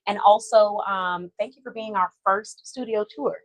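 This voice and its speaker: A woman's voice: mid-range voice, fairly even intonation, normal speed, no room sound, low-quality recording. A woman hosting a podcast interview, encouraging her guest and summing up what she says. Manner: encouraging, summarizing the guest's points. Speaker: podcast host. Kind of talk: casual interview.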